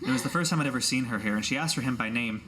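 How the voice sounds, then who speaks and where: A man's voice: mid-range voice, racing, background noise, natural voice. A man voicing a stern, formal woman innkeeper in a fantasy tabletop game, speaking in prim, wordy, indignant phrases. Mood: measured, explaining.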